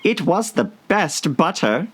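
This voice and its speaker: A prickly talking hare from a storybook, put on by a man. He speaks in a raised, high voice with a pert, contrary way of putting things. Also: big pitch swings, slow, a bit bright, husky, full character voice. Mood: meek.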